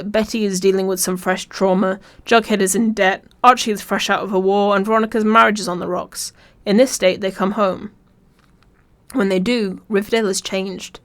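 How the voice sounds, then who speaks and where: A woman reading a scripted video essay in an informal way, with wry, dry asides. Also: medium pitch. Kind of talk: scripted video essay narration with casual humour.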